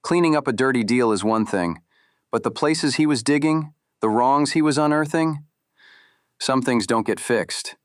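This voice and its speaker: An actor playing a guarded, weary man in a noir audio drama, speaking in terse, measured, ominous lines. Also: mid-range voice, no room sound, clean studio sound, lightly acted. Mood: grave, resigned. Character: guarded, weary man warning two investigators.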